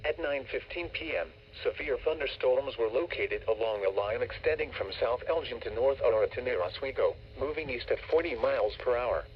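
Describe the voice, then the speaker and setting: Automated male weather-radio announcer, mid-pitched, formal and measured, scripted warning copy, noisy off-air radio sound. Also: lively intonation, muffled recording.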